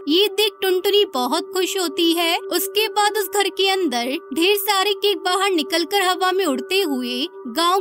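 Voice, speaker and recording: Very high-pitched woman narrating a story. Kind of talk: scripted children's story narration.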